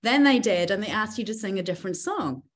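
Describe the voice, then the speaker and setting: A middle-aged woman radio presenter chatting with a guest on a video call, enthusiastic and quick in bursts. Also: mid-range voice, lively intonation. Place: small indoor room.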